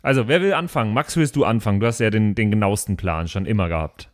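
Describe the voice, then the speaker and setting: A man's voice: fairly low pitch, fast, dry recording, studio quality. A man talking casually as a tabletop player, organising the game in colloquial speech with some English slang. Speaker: podcast regular and tabletop player.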